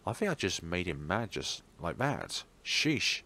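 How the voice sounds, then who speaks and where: A man with a fairly low voice narrates a horror visual novel for a let's play. His delivery is dramatic, with pitch that moves around a lot. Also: big pitch swings, measured pace, vocal fry, pushed and bright. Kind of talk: dramatic narration of game text.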